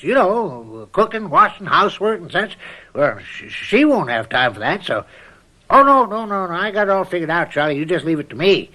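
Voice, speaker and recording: A sly old country uncle, in a radio mystery play. His voice is bright and fairly high, rambling along in a folksy, jovial way while he bosses everyone around. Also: full character voice, poor audio. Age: older. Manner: folksy, rambling, chuckling hard bargainer. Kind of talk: radio mystery drama acting.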